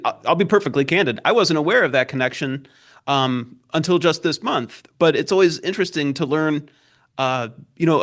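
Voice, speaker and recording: A man's voice: fairly high pitch, a bit bright. A man working as a reporter, hosting a formal interview and introducing his guests and questions.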